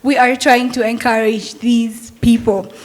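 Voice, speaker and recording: A woman's voice: on the high side, level tone, measured pace. Earnest woman giving a persuasive talk. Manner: earnest, persuasive, uses rhetorical questions. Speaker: Montessori teacher speaking at a youth summit. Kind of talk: public talk at a summit.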